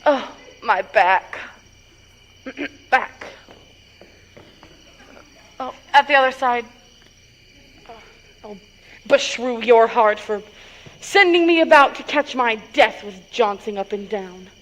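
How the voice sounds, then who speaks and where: A woman plays a weary old nursemaid from Shakespearean stage drama, full of grumbles and teasing. Her voice is fairly high, and she drags out each complaint very slowly. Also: fairly bright tone, poor audio. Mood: groaning complaint.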